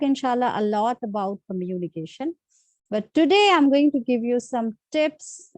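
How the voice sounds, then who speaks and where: A woman's voice: on the high side, a little mellow, no room sound. A woman from India with an Indian accent, whose first languages are Hindi and Urdu, hosting an unscripted lesson with many fillers and restarts. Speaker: channel host giving tips. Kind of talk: informal talk-to-camera lesson.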